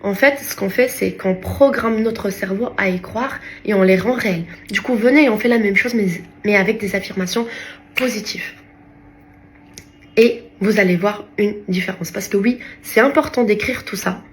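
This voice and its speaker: A woman's voice: mid-range voice, moderate pace, echoey room, a little hiss or hum. A woman who is not a native French speaker, talking casually to her followers in a vlog and searching for her words in French. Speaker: lifestyle influencer.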